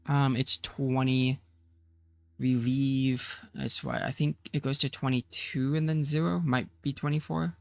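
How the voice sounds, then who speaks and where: Male streamer, tired and slightly rough, casual thinking-aloud commentary, creaky edge. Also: medium pitch, level tone, takes it slowly, pauses often, no echo, faint music behind. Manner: rambling, thinking aloud with fillers. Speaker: game streamer. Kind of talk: casual let's-play commentary.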